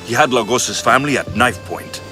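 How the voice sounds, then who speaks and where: A man's voice: mid-range voice, lively intonation, a little fast, close to an everyday voice. An actor playing a resolute, earnest Spartan mercenary man in a video game. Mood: firm. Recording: game cutscene with music behind. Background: music.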